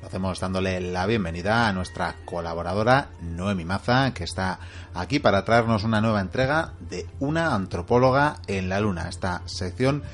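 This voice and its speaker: Low-voiced radio host. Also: male voice, normal speed. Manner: wry, playful banter. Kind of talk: radio show hosting and mock narration.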